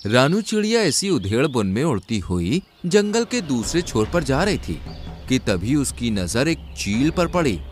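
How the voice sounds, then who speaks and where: A man's voice: medium pitch, very animated, measured pace. A man narrating a scripted children's cartoon story.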